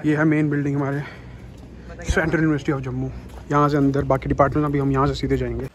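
A man's voice: mid-range voice, little pitch movement, fast talker. A young man in his twenties, a student vlogging casually around his university campus on a phone held at arm's length, playful and teasing with friends. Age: 20s.

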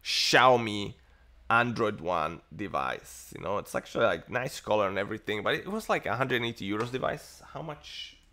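A man's voice: fairly low pitch, animated, normal speed, sharp tone. A man in his thirties from Italy, chatting casually and rambling with lots of fillers on a tech livestream, recorded in a home study on an earphone mic. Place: home study lined with bookshelves. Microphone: earphone headset mic on a webcam stream.

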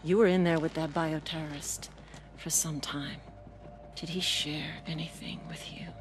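A woman playing a cold middle-aged government commander in a video game, measured, condescending and quietly threatening. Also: low voice, fairly even intonation, music in the background. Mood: controlled menace. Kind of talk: video game cutscene acting.

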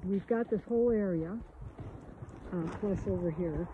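A woman's voice: on the low side, normal speed. A woman organizing a nonprofit's event, talking over plans with a vendor in a hesitant, polite way. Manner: hesitant, polite, lots of fillers. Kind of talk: conversation with a vendor. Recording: tinny phone-like sound, faint outdoor noise.

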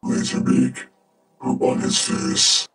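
A man voicing a cold, deadpan robot henchman in a cartoon parody, speaking deliberately through a vocoder effect. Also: echoey room. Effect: vocoder robot filter. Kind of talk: cartoon parody skit acting.

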